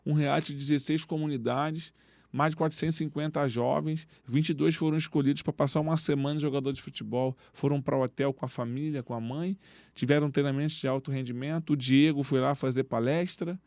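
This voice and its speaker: A man tells stories in lively street slang, his voice sitting a bit high. The sound is dry, close and plainly miked. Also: a little fast, vocal fry, no room sound. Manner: animated storytelling with street slang. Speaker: football coach and guest. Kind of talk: casual interview talk. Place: podcast studio.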